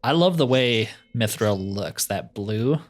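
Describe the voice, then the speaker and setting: A man's voice: fairly low pitch, expressive, slow. A man giving casual gameplay commentary in a laid-back way, musing to himself as he plays. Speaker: gaming streamer.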